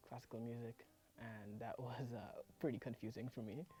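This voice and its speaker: Mellow, flat-voiced young man. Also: mid-range voice, normal speed. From Trinidad. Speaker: music student. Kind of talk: interview answers.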